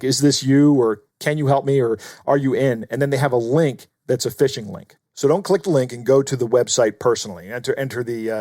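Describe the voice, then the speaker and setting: A man's voice: mid-range voice, darkish tone, no echo, clean studio sound. A man co-hosting a podcast, explaining things in an informal, instructive way. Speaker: podcast co-host. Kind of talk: conversational explanation.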